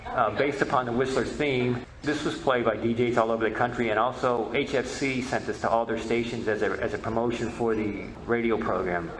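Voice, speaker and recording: A man's voice: mid-range voice, steady tone, fairly quick, hardly any pauses. A man giving an explanatory conference talk as a panel speaker on radio history. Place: roomy indoor conference presentation space. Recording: echoey, noisy room recording.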